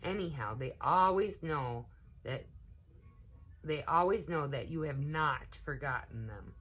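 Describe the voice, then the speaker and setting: A woman voicing a patient, reassuring, gently firm older girl cousin in a storybook. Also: deep voice, expressive, measured pace, husky, full character voice. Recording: noticeable background noise.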